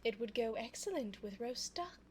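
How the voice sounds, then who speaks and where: A woman playing an elegant, cunning vampire in an ASMR audio roleplay, speaking formally and coaxingly in a soft voice close to the microphone. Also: a little high, animated, slight background noise, close to an everyday voice. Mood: silky, hospitable. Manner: formal, genteel, slow, coaxing. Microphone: close binaural microphone.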